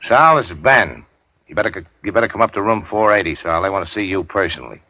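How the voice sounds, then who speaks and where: An actor playing a tough, cynical racketeer boss in a 1940s radio crime drama, with clipped, slangy, sardonic delivery. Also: male voice, low-pitched, expressive, fast, bright, forceful tone, dry recording, full character voice, poor audio. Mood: dry, cool. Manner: hard-boiled, slangy, clipped. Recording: dry 1940s radio recording.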